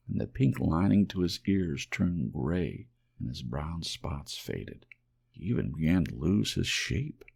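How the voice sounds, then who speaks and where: A man narrating a children's storybook in a gentle ASMR audiobook style. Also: deep voice, vocal fry, husky.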